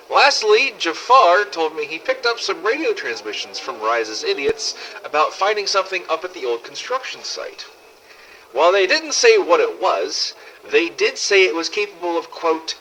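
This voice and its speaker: Man playing a sardonic survivor with a bright, lively voice. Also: a little high, a little hiss or hum, rough recording. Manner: wry, exasperated, sweary. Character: sardonic, weary male survivor runner in a zombie apocalypse. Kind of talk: in-character audio diary narration, fan-made game audio logs.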